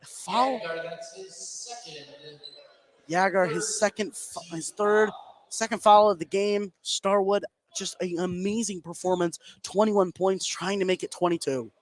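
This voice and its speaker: A man calling live high school basketball play-by-play from an indoor gym, running through the action and getting excited on big plays. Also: unhurried. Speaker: play-by-play commentator. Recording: noisy live gymnasium ambience.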